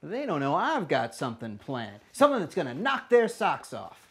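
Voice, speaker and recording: Man acting as folksy father, slow drawn-out delivery, homely comic character. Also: fairly high pitch, wildly swooping pitch, fairly bright tone, husky, heavily acted. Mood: quietly excited. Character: folksy father secretly planning a holiday costume surprise.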